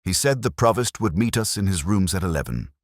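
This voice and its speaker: Brooding middle-aged detective, extremely low voice, expressive rise and fall, measured literary radio drama narration, wry, melancholic. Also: male voice, no echo, clean studio sound. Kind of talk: scripted first-person radio drama narration.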